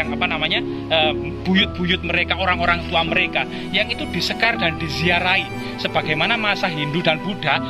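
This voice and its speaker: A man giving unscripted explanations of an old site as a guide, often repeating his phrases. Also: fairly high pitch. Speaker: host and guide explaining ancient sites. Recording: loud music behind the voice. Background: loud music.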